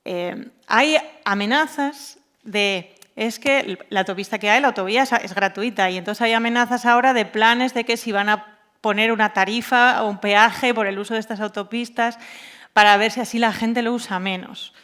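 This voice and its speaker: A woman's voice: fairly high pitch, normal speed, fairly bright tone. A woman speaking informally on a panel, colloquial and anecdotal, repeating words for emphasis. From Asturias, Spain. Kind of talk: informal round-table talk.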